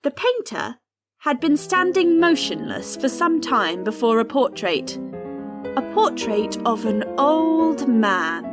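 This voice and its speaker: A prim, commanding storyteller narrates a literary tale for radio. The voice sits fairly high and moves slowly and deliberately, with dramatic weight, over clearly audible music. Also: female voice. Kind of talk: theatrical scripted narration.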